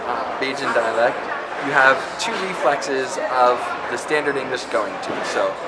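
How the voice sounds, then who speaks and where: A man's voice: medium pitch, normal speed, heavy background noise. A man giving a conversational introduction to linguistics, often checking in with the listener by asking "right?". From not from Barbados. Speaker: linguistics lecturer. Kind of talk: explanatory talk to camera. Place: public space in Barbados.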